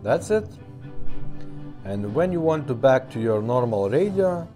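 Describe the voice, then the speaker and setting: A man's voice: mid-range voice, very animated, measured pace, warm tone, soft background music. A man casually demonstrating a product, explaining step by step in simple, non-native English phrasing. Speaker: presenter of a car radio repair shop demo. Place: indoors at a tabletop.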